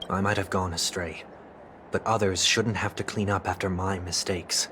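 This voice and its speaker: An actor playing a composed, formal young man who speaks in measured, deliberate sentences, with music playing behind him. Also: low-pitched, fairly even intonation, noisy background, lightly acted. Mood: calm. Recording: game soundtrack music behind.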